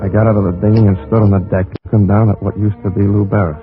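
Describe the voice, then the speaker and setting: A man's voice: fairly low pitch, flat delivery, racing, natural voice. An actor playing a weary, bitter man in an old radio crime drama, speaking in terse, world-weary phrases. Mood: weary, grim. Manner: terse, world-weary, hard-boiled delivery. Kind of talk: 1950s radio drama acting. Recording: muffled old radio recording, loud music behind.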